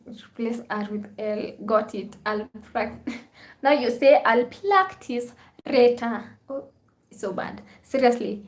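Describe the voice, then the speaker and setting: A chatty, upbeat woman hosts a live stream, reading viewer comments aloud and thanking them again and again. Her voice sits a little higher than most women's. The room around her gives back a clear echo. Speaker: vlogger hosting a live stream.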